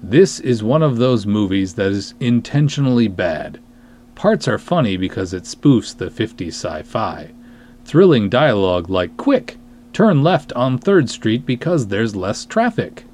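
Animated low-voiced man, wry podcast host. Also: a little slow, slight background noise. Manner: self-deprecating, wry, emphatic. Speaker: podcast host, writer and director. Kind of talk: podcast monologue with dramatic review readings.

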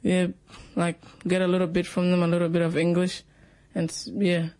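Man, high pitch, monotone, halting speech, interview guest. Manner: halting, simple phrasing, leaning on "like" and "yeah".

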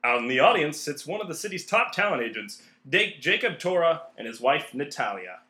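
Bright, animated male co-host narrating. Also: on the high side, brisk, reverberant. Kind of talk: scripted introduction and radio-play narration.

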